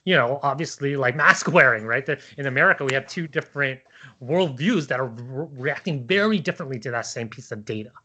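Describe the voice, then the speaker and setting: Bright-voiced man reasoning aloud. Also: medium pitch, lively intonation, fairly quick. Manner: hesitant, discursive, seeks agreement with tag questions. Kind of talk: casual conversational debate.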